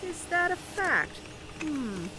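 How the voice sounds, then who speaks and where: A woman's voice: high-pitched, very animated, slow, background noise, exaggerated voice. A woman playing a prim, officious, skeptical receptionist in an audio drama. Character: officious, fussy receptionist and dispatcher.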